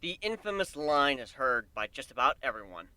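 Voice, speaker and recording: A man giving an animated first-person dramatic reading of a fan fiction story. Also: mid-range voice, normal speed, no room sound. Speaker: amateur story reader.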